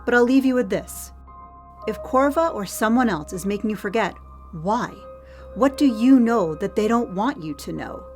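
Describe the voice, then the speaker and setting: A woman's voice: a little high, lively intonation, moderate pace, darkish tone, faint music behind, close to an everyday voice. A woman acting in an audio drama as a hard-edged, unflappable head of security who speaks in pointed, sardonic questions. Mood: probing, needling.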